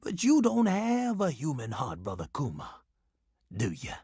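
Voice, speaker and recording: Wildly swooping, creaky old mystic. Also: male voice, on the high side, wildly swooping pitch, measured pace, warm tone, no room sound, studio quality, full character voice. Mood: solemn, insinuating. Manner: theatrical, preachy. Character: eccentric old male mystic companion, scheming and grandiose. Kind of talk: video game character acting.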